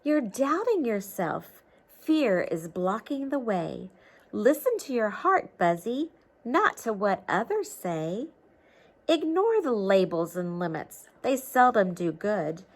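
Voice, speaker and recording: A woman voicing wise bumblebee parents in a children's storybook, giving gentle, emphatic encouragement. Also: medium pitch, big pitch swings, slow, heavily acted. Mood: reassuring.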